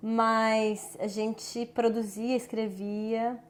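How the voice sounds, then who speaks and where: A young woman with a southern Minas Gerais accent, a guest in a casual podcast interview, telling her story in a lively way. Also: a little high, drawn-out words. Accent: southern Minas Gerais Brazilian Portuguese. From southern Minas Gerais, Brazil. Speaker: guest, actress.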